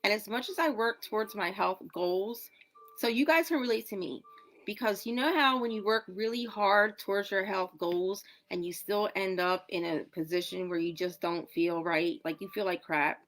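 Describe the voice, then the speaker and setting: A woman in her forties talks in a casual social media video. She is chatty and dramatic, and her voice jumps from loud exclamations to near whispers. Her voice sits at an ordinary pitch. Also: moderate pace. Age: 40s. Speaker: wellness product promoter in a clip.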